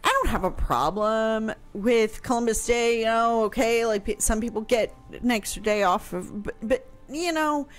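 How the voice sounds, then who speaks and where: A woman's voice: a little high, normal speed, few pauses, faint music behind. An American woman in her thirties co-hosting a casual radio discussion, close on a studio mic. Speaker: radio show co-host. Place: room in a home, indoors. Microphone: studio mic on boom arm with headset.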